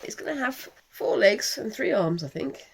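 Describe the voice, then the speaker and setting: A woman in her thirties with a croaky, failing voice, hosting a casual crafting vlog with her young daughter in a chatty, wry way. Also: mid-range voice, sing-song, moderate pace, a crowd in the background. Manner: chatty, self-mocking, wry. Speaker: channel host, mother.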